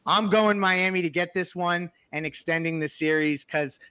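A man with a fairly high voice chats casually about sport as a podcast co-host. His delivery is informal and wry, and the recording is dry. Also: moderate pace, pushed and bright, smooth, dry recording.

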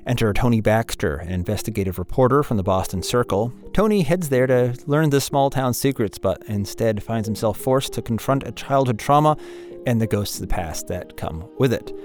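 A man with a mid-pitched voice delivers an upbeat podcast announcement as host. His delivery is enthusiastic and lightly scripted, with music clearly playing underneath. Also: expressive, moderate pace, a little mellow.

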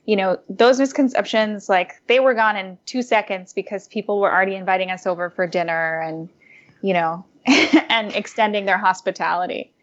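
A young adult woman being interviewed, giving thoughtful, animated answers with fillers and restarts. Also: medium pitch, normal speed. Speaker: guest, former diplomat and food blogger. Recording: muffled lo-fi audio.

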